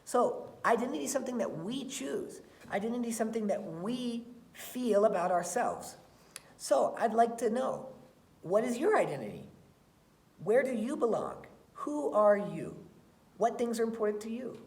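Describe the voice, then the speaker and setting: A woman teacher reading aloud and talking to students in a deliberate, emphatic way. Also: a little high, expressive, darkish tone. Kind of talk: expressive read-aloud and lesson talk for children. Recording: roomy, echoey sound.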